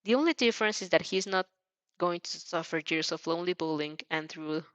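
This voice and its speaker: A woman reading a story aloud as an expressive amateur narrator, sometimes stumbling and rereading phrases. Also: lowish voice, fairly quick, no room sound. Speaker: fan fiction narrator.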